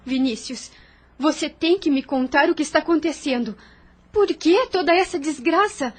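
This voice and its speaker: Woman doing a worried young sister in an audio drama, high voice, insistent, firm. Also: normal speed, lightly acted.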